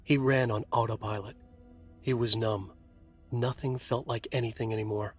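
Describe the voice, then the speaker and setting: A man's voice: medium pitch, steady tone, normal speed, close to an everyday voice. Haunted writer played by a male actor, terse noir game narration, brooding and dramatic, faint music underneath. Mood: bleak, intense.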